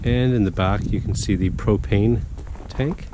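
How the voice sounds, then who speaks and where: A man working as a real estate agent, chatting casually as he guides the viewer on a walkthrough, a bit hoarse from a cold. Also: fairly low pitch, unhurried, muffled recording. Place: outdoors, walking around a property in the cold. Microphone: handheld camera while walking. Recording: outdoor noise with passing vehicles.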